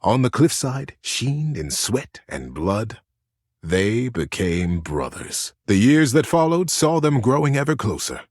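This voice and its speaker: An actor voicing a gentle, earnest storyteller character from a game, narrating a bedtime tale with dramatic pauses. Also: male voice, mid-range voice, sing-song, no echo, studio quality. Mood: tender, dramatic, then warm, wistful. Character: gentle male teddy bear storyteller, tender and earnest.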